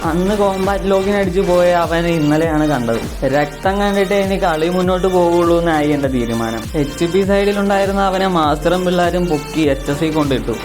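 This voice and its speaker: A man narrates a gameplay recap in a fairly high-pitched voice. He reads it as a scripted story for his gaming video. Music plays clearly behind him. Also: a little fast, few pauses.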